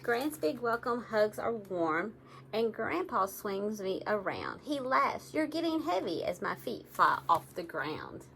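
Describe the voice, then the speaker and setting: A woman reading picture books aloud to young children in an animated, engaging way, often addressing her listeners directly. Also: medium pitch, a little slow, creaky, a little hiss or hum, low-quality recording.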